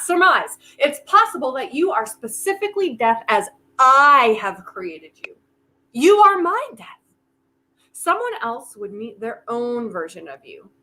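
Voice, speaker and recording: A woman acting in a staged play reading as a desperate, quick-witted, volatile woman who argues in a halting, emphatic way, thinking aloud. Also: sits high, sharp tone, full character voice, poor audio.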